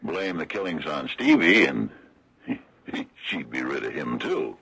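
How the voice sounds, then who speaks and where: A wry small-town lawman in an old radio mystery broadcast. His voice is extremely deep, gravelly and rough, swinging expressively as he draws out each dry, sardonic point with deliberate, folksy hesitation. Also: sing-song, fairly bright tone, muffled recording, full character voice. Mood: somber, sardonic. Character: wry, slow-talking older small-town lawman exposing a cover-up. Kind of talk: 1970s radio mystery acting.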